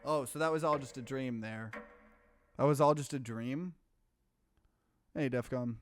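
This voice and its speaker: A man doing a let's play, reading game text aloud and breaking in with animated, bewildered reactions. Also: medium pitch, measured pace.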